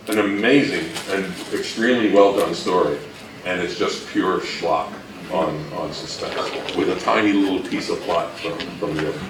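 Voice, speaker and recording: A man giving an informal, halting talk on history as a co-presenter, thinking aloud as he goes. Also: fairly low pitch. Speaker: co-presenter at a fan convention talk. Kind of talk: informal lecture on old radio history. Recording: roomy, distant mic with faint noise.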